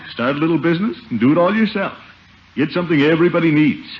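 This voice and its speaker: An actor playing a pompous, blustery but kindly middle-aged uncle in a 1950s radio sitcom. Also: male voice, a little high, expressive, fast talker, close to an everyday voice. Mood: fatherly advising. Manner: pompous, avuncular, chuckling. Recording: muffled, noisy old radio broadcast recording.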